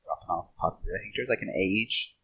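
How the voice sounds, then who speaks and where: A man's voice: low voice, fast talker, vocal fry, sharp tone, a little hiss or hum. A man in a casual podcast discussion, thinking aloud in a hesitant way as he gives his opinions.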